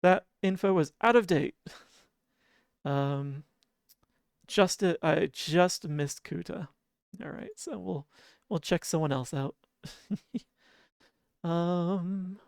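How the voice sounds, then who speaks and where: A game streamer with a sleepy, drowsy-sounding voice talks softly and casually to the chat. The tone is smooth and clean, and the desk mic sounds close and dry with no room behind it. Idle humming fills the pauses. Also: mid-range voice, dry recording. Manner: soft, relaxed, chuckles often and hums idle filler tunes.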